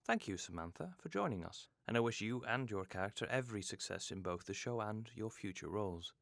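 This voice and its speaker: Formal male host with an animated low voice. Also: creaky, dry recording, studio quality. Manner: formal, measured. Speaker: interview host. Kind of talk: scripted interview hosting.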